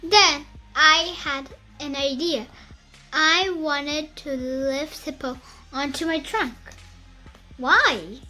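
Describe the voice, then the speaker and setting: A child reading a picture book aloud in a careful, halting beginner's way, with music behind. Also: high-pitched, sharp tone.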